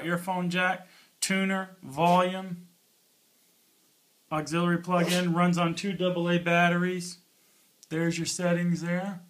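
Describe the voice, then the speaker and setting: Bright-voiced man doing a casual gear review. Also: high-pitched. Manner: informal, offhand. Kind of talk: casual hands-on product review.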